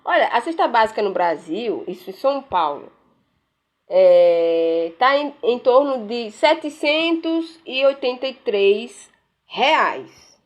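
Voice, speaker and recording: A woman's voice: on the high side, very animated, slow. A woman giving casual political commentary while browsing pages on her screen, sarcastic and rambling, tagging sentences with questions. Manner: frequent "né?" tags, rambling, sarcastic.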